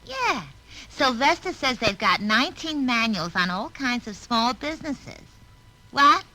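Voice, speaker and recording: A woman's voice: fairly high pitch, sing-song, unhurried, pushed and bright, full character voice, rough recording. A woman playing a chatty, naive switchboard operator, gossiping brassily in a vintage radio comedy. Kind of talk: 1950s radio comedy acting. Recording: old radio recording, faint noise.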